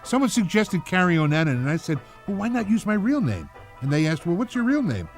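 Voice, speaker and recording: Jaded, pompous high-voiced radio announcer. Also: male voice, brisk, soft background music. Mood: jaded, sardonic. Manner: deadpan, mock-pompous. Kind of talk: comic radio monologue.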